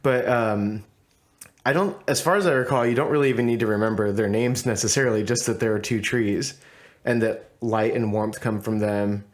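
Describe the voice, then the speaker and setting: A man's voice: lowish voice, moderate pace. Thoughtful man musing on a podcast. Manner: thoughtful, musing, with many fillers. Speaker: podcast co-host. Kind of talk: casual chat.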